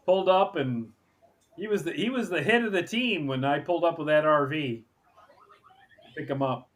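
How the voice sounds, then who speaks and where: A man's voice: fairly high pitch, moderate pace. A middle-aged man hosting a livestream, chatting casually with playful, jokey banter. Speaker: livestream host. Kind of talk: casual chat.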